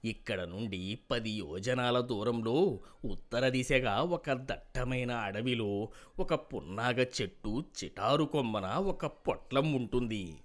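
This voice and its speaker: A man voicing a wise, fatherly holy man in a storybook tale, with grave, measured pronouncements. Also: mid-range voice, fairly bright tone. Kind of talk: dramatic storybook character acting.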